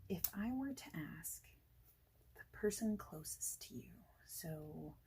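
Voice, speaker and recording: Woman, low creaky soft voice, slow informal ASMR roleplay as a reassuring boss. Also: expressive. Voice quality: soft, breathy, often dropping toward a whisper. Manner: informal, reassuring, rambling chat. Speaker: ASMR creator playing a friendly boss in a job interview roleplay. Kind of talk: ASMR roleplay, soft speaking with whispering, improvised.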